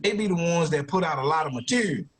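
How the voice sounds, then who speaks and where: A man's voice: a little high, expressive, fast, a bit bright, slight background noise. A man hosting a podcast in casual, slangy banter peppered with profanity. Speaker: podcast host.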